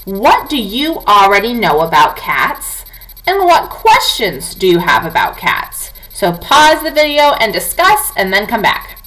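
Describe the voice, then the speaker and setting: A woman's voice: mid-range voice, bright, forceful tone, rough recording. A woman teacher reading a non-fiction book aloud to young children, talking to them directly with questions and prompts.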